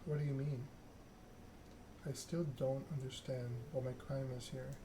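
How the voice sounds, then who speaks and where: A puzzled, newly turned vampire read by a man in ASMR style questions things in game dialogue. The voice is mid-pitched and fairly flat, with clear noise behind it. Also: a little slow, lightly acted. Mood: bewildered.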